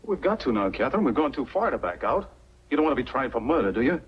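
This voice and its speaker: An actor playing a calculating, persuasive accomplice in a 1940s film noir style. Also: male voice, medium pitch, very animated, quick pace, slightly rough. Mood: urgent persuading. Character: calculating male accomplice, persuasive and self-protective. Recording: muffled 1940s film soundtrack.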